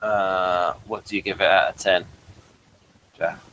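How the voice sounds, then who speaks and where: A man's voice: low voice, steady tone, a little slow, sharp tone, a little hiss or hum, low-quality recording. A man hosting a review podcast in casual conversation, with a questioning, tentative way of talking.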